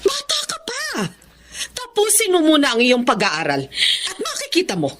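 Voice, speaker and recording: A strict, reproachful Filipino mother in a radio drama. Her voice is bright and swings widely in pitch. She is protective and emphatic, over a noisy track. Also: wildly swooping pitch, moderate pace, full character voice. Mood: angry, scolding. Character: strict, scolding middle-aged mother, protective and distrustful. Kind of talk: Tagalog radio drama acting.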